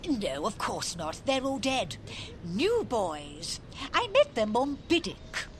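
Flamboyant, swooping old granny. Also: female voice, on the high side, big pitch swings, slow, slight background noise. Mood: insistent. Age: older. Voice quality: theatrical, swooping. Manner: blunt, bossy, exclamatory. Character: brash, flamboyant, impatient old granny craving adventure. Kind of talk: audio drama acting.

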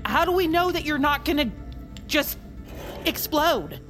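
A woman playing an anxious, hesitant archivist in an audio drama, flustered and halting. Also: high-pitched, expressive, fairly bright tone, faint music behind. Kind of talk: audio fiction acting.

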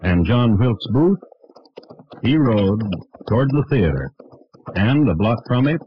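An actor playing a wry, measured chronicler of historic crimes, narrating a 1950s radio drama in a dry literary manner. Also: male voice, medium pitch, very animated, slight background noise. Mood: grave storytelling. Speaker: narrator and host. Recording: muffled vintage radio recording.

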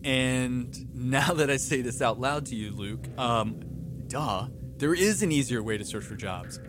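A man's voice: mid-range voice, measured pace, over music. A man hosting a chatty, bantering ad read, playful and mock-exasperated. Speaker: show director and ad host.

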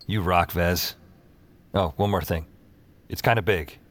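A man's voice: low-pitched, steady tone, a little slow, fairly bright tone, slight background noise. An actor playing a weary, wry young man who narrates and lives his own supernatural adventure in an audio drama. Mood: casual.

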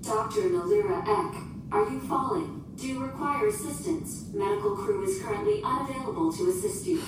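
A woman's voice: big pitch swings, fairly quick. A woman playing a polite, unemotional shipboard AI in a sci-fi audio drama, speaking in formal, precise, procedural phrases. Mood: procedural, impassive. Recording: distant, echoing, noisy with rumble.

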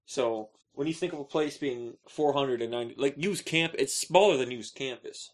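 A man's voice: medium pitch, moderate pace. A man co-hosting a podcast, chatting casually and joking around with his partner. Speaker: podcast co-host.

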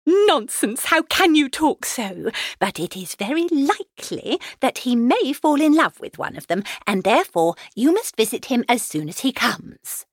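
A woman voicing an excitable, nagging middle-aged wife and mother in a gushing, insistent, theatrical way for an audiobook. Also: high voice, measured pace, hardly any pauses, dry recording, studio quality, pitched above the performer's own voice, full character voice. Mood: impatient. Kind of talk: dramatised audiobook character reading.

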